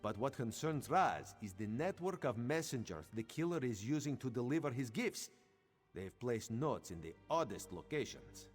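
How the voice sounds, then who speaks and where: A man's voice: mid-range voice, very animated, moderate pace, close to an everyday voice. An actor voicing a vain, playful cat-man agent in a video game, speaking theatrically and with self-assurance. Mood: confident, conspiratorial. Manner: theatrical, self-assured, refers to himself by name. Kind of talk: video game character dialogue. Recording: music behind the voice.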